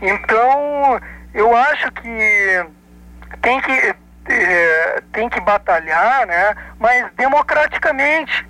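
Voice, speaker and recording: A man's voice: very high voice, lively intonation, slow pace, sharp tone, poor audio. A man from southern Brazil with a Gaúcho accent, calling in to a radio show and speaking hesitantly with many fillers and restarts. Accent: Gaúcho Brazilian Portuguese. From Rio Grande do Sul, Brazil. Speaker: radio caller. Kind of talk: opinionated call-in chat.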